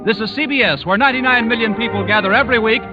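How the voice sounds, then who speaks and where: A man's voice: very high-pitched, rapid-fire. A man announcing a network radio show in scripted 1940s style. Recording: dull 1940s radio recording, music behind. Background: show theme music.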